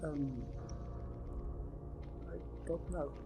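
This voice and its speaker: Mellow higher voice, Swedish-accented man, baffled in-character player. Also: drawn-out words, slight background noise. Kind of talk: in-character tabletop roleplay.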